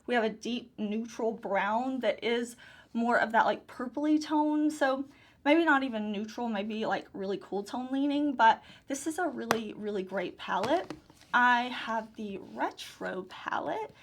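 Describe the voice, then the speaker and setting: Woman going on about her eyeshadows, bit higher voice, super gushy. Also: lively intonation, measured pace. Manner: gushing, enthusiastic, repeats phrases. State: hoarse, recovering lost voice. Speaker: beauty YouTuber. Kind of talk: casual product overview.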